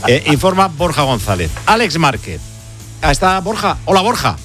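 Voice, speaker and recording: A man's voice: fairly high pitch, sing-song, normal speed, over music. A man hosting a sports radio show, chatting casually and joking, with many fillers.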